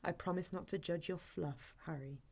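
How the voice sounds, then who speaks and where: An actress playing a guarded, patient woman in an audio drama, handling a clingy date in a measured, firm but gentle way. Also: lowish voice, fairly even intonation, husky. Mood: gentle, cautious. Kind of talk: naturalistic audio drama acting.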